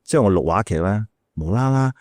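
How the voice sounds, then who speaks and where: A man's voice: on the low side, expressive, fairly quick, darkish tone, no echo, clean studio sound. A middle-aged man with a Malaysian Cantonese accent telling stories casually as an interview guest, acting out quotes and repeating words as he goes. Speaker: radio DJ and podcast guest.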